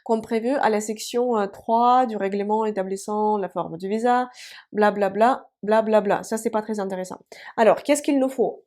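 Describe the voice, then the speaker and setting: A woman's voice: on the high side, darkish tone. A woman in her thirties, a native Russian speaker, explaining things in a chatty, hesitant way with many fillers, as an online language teacher. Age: 30s. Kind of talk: casual explanatory online lesson.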